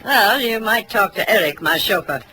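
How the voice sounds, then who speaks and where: A woman's voice: medium pitch, expressive, normal speed, pushed and bright, soft background music, low-quality recording. An actress playing a prim, wealthy middle-aged stepmother, arch and dryly insinuating, in 1940s radio style. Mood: arch, disapproving.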